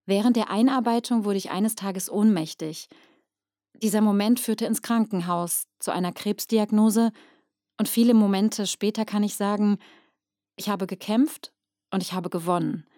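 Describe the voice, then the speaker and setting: A woman's voice: mid-range voice, moderate pace, dark tone, dry recording, studio quality. A woman reading a scripted first-person testimonial as a voice-over narrator, composed and carefully phrased.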